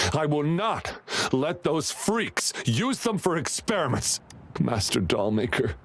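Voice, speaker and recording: Slow, emotional, protective father. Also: mid-range voice. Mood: troubled. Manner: tender, earnest, emotional. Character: gentle, desperate, protective father of a puppet girl. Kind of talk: video game cutscene acting.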